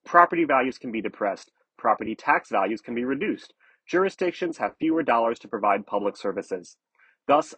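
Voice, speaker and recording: A man narrating a scripted news article in a measured, explanatory way. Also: medium pitch, fairly bright tone, slightly rough, no echo, low-quality recording.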